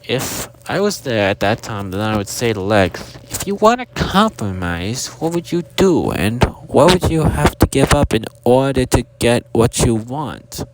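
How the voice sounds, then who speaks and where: A man's voice: medium pitch, very animated, unhurried, hardly any pauses. A man voicing a forgetful, kindly storyteller hippo who rambles formally and keeps losing his thread. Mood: earnest. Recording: very noisy amateur recording with clicks.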